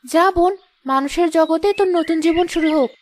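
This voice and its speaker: A woman's voice: very high voice, a little fast, a little mellow. A woman voicing a caring, reassuring elder fairy sister in a cartoon fairy tale. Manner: reassuring, instructing.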